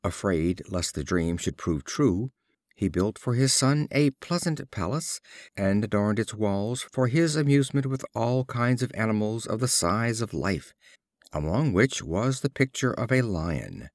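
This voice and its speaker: Male audiobook reader for fables: unhurried, animated intonation, expressive storytelling. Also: fairly low pitch, dry recording, studio quality. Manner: measured, expressive storytelling delivery. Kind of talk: scripted storybook narration of fables.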